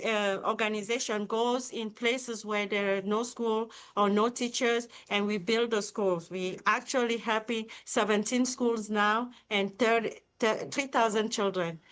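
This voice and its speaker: A woman's voice: mid-range voice, a bit bright, clear, resonant tone. A middle-aged woman with a Cameroonian accent, speaking enthusiastically as a charity founder in a live interview. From Cameroon, Central Africa. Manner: enthusiastic, upbeat. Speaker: nonprofit founder and CEO, interview guest.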